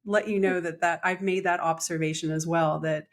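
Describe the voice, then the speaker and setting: Low-voiced woman podcast host. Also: steady tone, moderate pace. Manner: friendly, chatty, with light laughter. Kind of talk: podcast interview.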